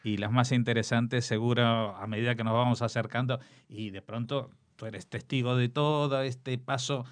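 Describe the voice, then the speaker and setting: A man hosting a conversational interview, speaking haltingly as he searches for words and repeats himself. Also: mid-range voice, fairly even intonation. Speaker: radio interview host.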